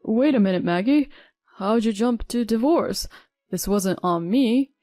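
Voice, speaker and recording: A woman's voice: on the high side, lively intonation, a little slow, dark tone, dry recording. Whiny husband, as a woman narrator puts him on. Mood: defensive. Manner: whining, pleading. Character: spineless young husband, whiny and pleading.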